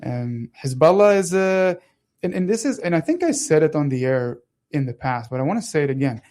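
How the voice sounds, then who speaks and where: Israeli-Italian accented man, animated. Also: fairly high pitch. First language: Hebrew. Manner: animated, talks fast with many restarts and laughs. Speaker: radio show co-host. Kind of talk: casual political chat.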